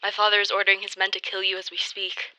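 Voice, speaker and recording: An actress playing a crime boss's determined daughter, giving brisk, formal, urgent orders in an audio drama. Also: female voice, medium pitch, completely flat delivery, sharp tone, clear, resonant tone, dry recording, poor audio.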